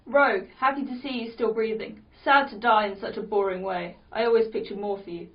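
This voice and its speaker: A woman's voice: medium pitch, fairly even intonation, fairly quick, lightly acted. A woman playing a taunting, dangerous female fighter in an amateur drama table read, with a dramatic delivery. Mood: mocking. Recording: dull lo-fi recording.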